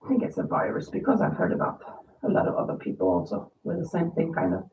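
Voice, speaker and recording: A woman in her fifties chats loosely and rambles, her voice a little nasal and raspy from a lingering cold. She sits some way from the mic. The sound is thin like a phone line and echoes in the room. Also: fairly low pitch, huge pitch swings, fast, distant mic, noisy background. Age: 50s. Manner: chatty, rambling, reads viewer comments aloud. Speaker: crochet livestream host. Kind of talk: casual livestream chat. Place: home.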